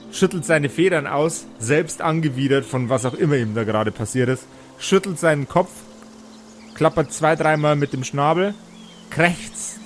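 A man narrating as game master of a tabletop role-playing audio play, improvising descriptions in deliberate phrases with pauses. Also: a little high.

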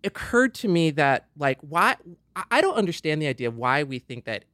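A man's voice: medium pitch, a bit bright, dry recording. A man co-hosting a podcast, explaining his points in casual talk and rephrasing as he goes. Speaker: podcast co-host.

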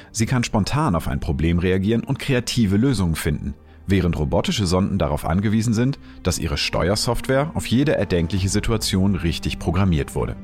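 A man narrating a science explainer in a calm, measured, explanatory way. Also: sits low.

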